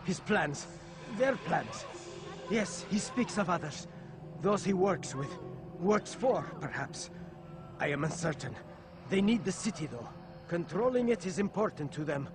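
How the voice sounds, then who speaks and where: A man playing an evasive, uneasy informant in a video game, speaking in halting, cryptic phrases. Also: sits high, expressive. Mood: guarded, uncertain. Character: evasive, uneasy informant hinting at dark plots. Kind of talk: video game dramatic acting.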